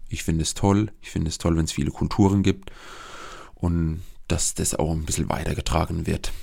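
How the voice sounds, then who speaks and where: Man in his twenties, very low voice, gravelly fry, regional dialect, earnest solo podcast talk, halting restarts. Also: fairly even intonation, warm tone. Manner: earnest and searching, with frequent hesitations and restarts. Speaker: podcast host, social worker.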